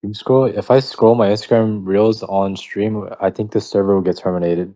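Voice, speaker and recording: A man's voice: fairly low pitch, fairly even intonation, normal speed, warm tone. A man joining a live stream, ribbing his friend with casual, profane banter.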